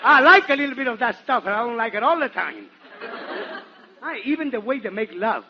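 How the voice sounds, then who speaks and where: Animated high-pitched Nicaraguan man, old radio. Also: fairly quick, crowd noise. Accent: Nicaraguan Spanish. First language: Spanish. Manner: theatrical, animated, exclamatory. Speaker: guest comedian and entertainer on a radio show. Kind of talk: 1940s radio comedy monologue before a live audience. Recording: muffled, hissy 1940s radio recording.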